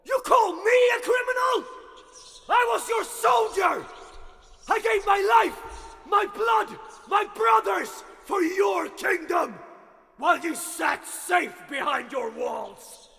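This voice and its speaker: A man playing a desperate, outraged soldier in a fairytale drama, forceful and indignant, in a reverberant space. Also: very high voice, slow pace, gravelly, exaggerated voice. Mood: defiant rage. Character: desperate, defiant, outraged soldier facing arrest. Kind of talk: dramatic fairytale audio acting.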